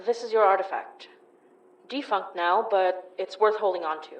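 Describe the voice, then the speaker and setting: A woman playing a calm, wry paranormal investigator who narrates the story, in an audio horror drama. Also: mid-range voice, moderate pace, slight background noise, natural voice, low-quality recording. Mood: gentle.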